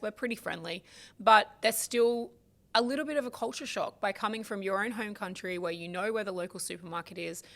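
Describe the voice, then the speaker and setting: A woman hosting a lawyer's channel, giving numbered tips in a clear, measured talk to camera. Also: mid-range voice, a bit bright. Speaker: migration lawyer, show host.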